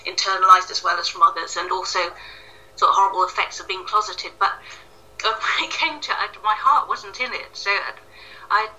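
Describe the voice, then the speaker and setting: A woman's voice: mid-range voice, fairly even intonation, brisk, bright, forceful tone. A woman from Wales giving casual, self-deprecating interview answers as an author from her echoey kitchen. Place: home kitchen, indoors. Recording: echoey kitchen, distant tinny call sound.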